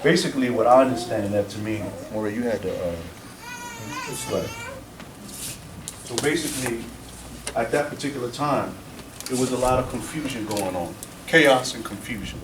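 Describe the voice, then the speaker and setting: A man's voice: medium pitch, moderate pace, long pauses. A man explaining the meaning of a scripture verse to a congregation in a plain, repetitive way. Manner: plainspoken, repeats "basically". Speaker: congregation teacher. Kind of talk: explaining scripture in a group discussion. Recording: distant mic, background noise.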